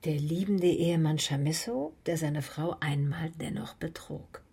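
A female private detective in a radio drama, cool and observant. Her voice sits low and husky, and she tells the case in terse, matter-of-fact phrases. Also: measured pace, warm tone, heavily acted. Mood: cool, reflective. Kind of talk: radio play first-person narration and dialogue.

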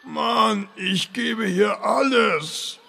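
A man playing a grumpy, sarcastic turtle with a raspy, gravelly voice in a comedic fantasy radio play. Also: high voice, drawn-out words, pushed and bright, full character voice. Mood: exasperated. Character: grumpy, sarcastic, very slow male turtle mount.

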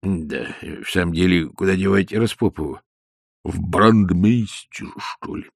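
A smug middle-aged official, as a male narrator voices him, pitched low and speaking softly in an unhurried, lordly drawl. The voice is somewhat husky and close-miked in a dry space. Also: no echo, full character voice. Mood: lazy drawl.

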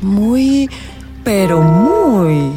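A woman's voice: mid-range voice, very animated. An actress playing an eccentric, gushing and flirtatious witchy astrologer in a radio drama, with dramatic, suspenseful phrasing. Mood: rapturous, suspenseful. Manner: dramatic, suspenseful drawn-out delivery with whispers. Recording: music playing behind the voice.

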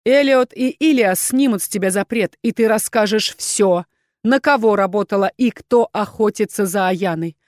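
Женщина играет холодного, властного герцога-ректора. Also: female voice, medium pitch, normal speed, a little mellow, no room sound, studio quality, lightly acted. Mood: grim command. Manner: cold, scornful, commanding. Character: cold, stern male duke and rector, merciless interrogator.

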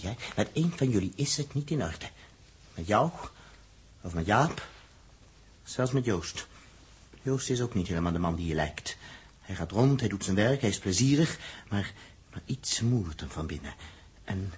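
An actor playing a brusque, secretive, stubborn spaceship technician in a 1960s radio drama, defensive and halting when pressed. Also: male voice, fairly low pitch, expressive, a little hiss or hum, close to an everyday voice. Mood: bitter, suspicious. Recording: muffled, indistinct old radio recording.

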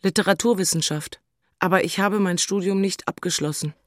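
A woman's voice: lowish voice, fairly even intonation, brisk, no echo, studio quality, natural voice. An actress playing Senta, an impulsive, bookish single city woman, teasing and blunt, in a literary audio drama. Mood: matter-of-fact.

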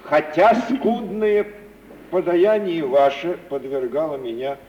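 An actor playing a florid, obliging gentleman given to lofty sentiment, declaiming grandly in the style of 1950s Russian radio theatre. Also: fairly high pitch, takes it slowly. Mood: grandiloquent. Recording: dull old recording, distant, hissy.

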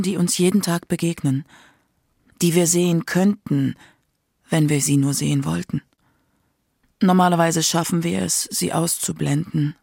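A woman's voice: fairly low pitch, vocal fry, dark tone, breathy edge, dry recording, studio quality. A woman reading scripted narration for a radio documentary feature.

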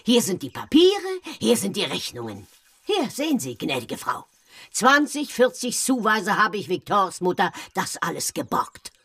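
Coarse, money-grubbing woman of fifty for radio drama: raspy, swooping delivery, blunt and wheedling, close dry sound. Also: medium pitch, very animated, moderate pace, a bit bright, no echo, full character voice. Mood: wheedling, insistent. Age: 50s. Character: coarse, grasping slum woman in her fifties.

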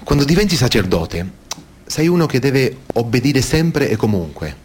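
A man's voice: medium pitch, sing-song, moderate pace, slight background noise, lightly acted. A man reading scripted testimony and narration in speech for a documentary radio play. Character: male and female witnesses recounting abuse by priests.

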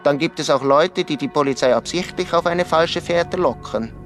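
Male actor as young footballer, slightly high voice, earnest, plain-spoken, radio drama dialogue, soft music underneath. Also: normal speed, natural voice. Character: earnest young village footballer sharing local rumours.

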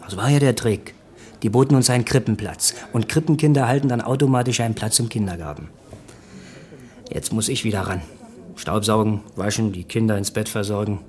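A man's voice: lowish voice, fairly quick, a little mellow, natural voice. An actor playing an easygoing, chatty family man in a radio play, talking in a colloquial, conversational way. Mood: friendly, resigned. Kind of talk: German radio play acting.

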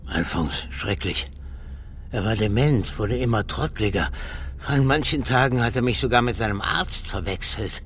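A man's voice: on the low side, animated, normal speed, fairly bright tone, a little hiss or hum. An older man acting in a radio crime drama, playing a bitter, obsessed professor who lectures with theatrical contempt. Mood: bitter, brooding. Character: old imperious professor, bitter, scornful and obsessed. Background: music.

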